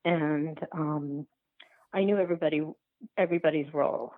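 Low-voiced woman on a phone-like line. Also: fairly even intonation, dry recording. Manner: halting, thinking aloud, frequent rephrasing. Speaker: guest, documentary filmmaker. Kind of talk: reflective podcast interview conversation.

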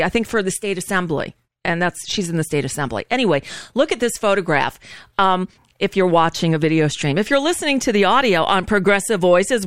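A woman's voice: lowish voice, a bit bright, smooth, dry recording, clean studio sound. A woman hosting a live show with informal political commentary, speaking in a blunt, sardonic, rambling way. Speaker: talk show host.

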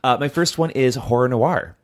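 A man's voice: medium pitch, normal speed. A man co-hosting a podcast, chatting casually about shows he recommends, rambling with lots of fillers and restarts. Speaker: podcast co-host.

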